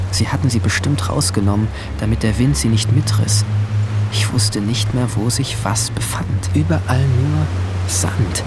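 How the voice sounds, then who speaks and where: A young man playing a brooding, guilt-haunted seventeen-year-old boy, narrating his story in measured, introspective short sentences in a radio drama. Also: mid-range voice, lightly acted. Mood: anxious. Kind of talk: literary first-person radio drama narration. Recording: music bed behind the voice.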